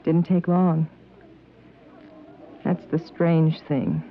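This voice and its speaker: A man's voice: a little high, level tone, very slow. A man playing a resigned, wary city dweller in a 1950s radio drama. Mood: subdued, musing. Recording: tinny, noisy old radio recording.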